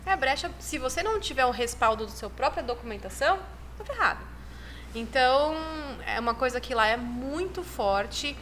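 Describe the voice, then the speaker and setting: A woman's voice: sits high, lively intonation, normal speed, fairly bright tone, a little hiss or hum. A woman, a chiropractic dean chatting as the guest on a podcast, upbeat and full of fillers. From Brazil. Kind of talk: casual podcast interview.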